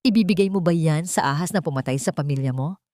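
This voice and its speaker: A woman's voice: sits low, quick pace, dark tone, dry recording, studio quality, close to an everyday voice. A woman voicing a stern, bitter, commanding husband in an audio drama, with firm, cutting delivery. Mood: bitter, menacing.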